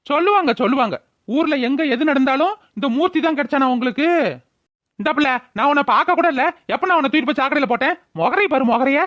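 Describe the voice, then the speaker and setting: A woman's voice: high-pitched, lively intonation, quick pace, fairly bright tone, no room sound, clean studio sound. A woman voicing a brazen, drunken village man in a cartoon, argumentative and blustering, with a rural Tamil Nadu accent. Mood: indignant. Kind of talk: cartoon village drama voice-over.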